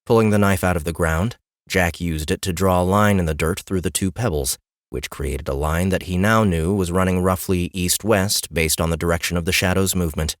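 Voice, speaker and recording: A man's voice: sits low, a little fast, no room sound, studio quality. A man reading a scripted fantasy story as an audiobook narrator.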